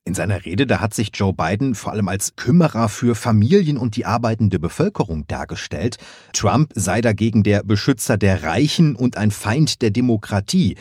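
A man interviewing a correspondent, asking measured, slightly pointed questions. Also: fairly low pitch, lively intonation, darkish tone, husky, no echo, clean studio sound.

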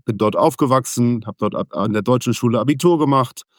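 A man who grew up in Venezuela speaks as a business guest in an interview. He explains things in a steady, measured way at a medium pitch. The recording is dry and close, with no room sound. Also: dry recording. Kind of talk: interview answers.

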